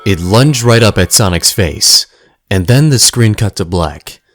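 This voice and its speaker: A man's voice: fairly low pitch, animated, measured pace, no echo, faint music behind. A man narrating a scripted audio drama in a dramatic storytelling manner.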